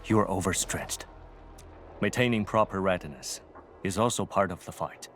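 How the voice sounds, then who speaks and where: A loyal, steady soldier in a video game. His voice is deep, and faint ambient noise runs behind him. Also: moderate pace. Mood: calm, concerned. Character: steady, loyal soldier man advising his task leader.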